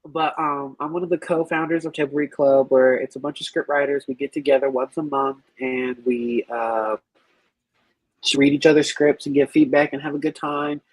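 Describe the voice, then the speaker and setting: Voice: medium pitch, fairly even intonation, normal speed, no room sound, poor audio. A podcast guest chatting casually, with a jokey, self-deprecating manner. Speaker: podcast guest, writers' club co-founder. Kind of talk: casual chat.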